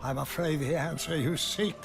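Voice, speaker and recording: A man playing an ominous, taunting villain in a video game cutscene, speaking in a deliberate, theatrical way. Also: a little high, steady tone, vocal fry, over music. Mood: solemn menace. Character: ominous, taunting male villain, calmly menacing and grandiose.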